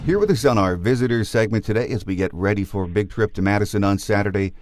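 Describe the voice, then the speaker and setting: A man's voice: fairly low pitch, quick pace, over loud music. An American man hosting a sports podcast, giving commentary and talking with guests. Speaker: podcast host. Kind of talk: sports podcast monologue and interview.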